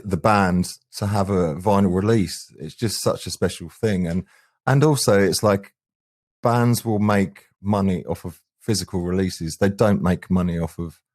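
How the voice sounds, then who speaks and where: A man's voice: sits low, a little mellow. A man answering interview questions conversationally as a band's singer and guitarist. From England. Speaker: band frontman, singer and guitarist.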